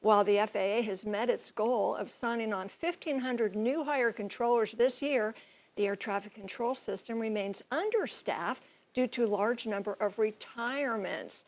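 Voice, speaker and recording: A woman reporting as a TV news correspondent, explaining things in measured, clear phrases. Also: fairly high pitch. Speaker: TV transportation correspondent and pilot.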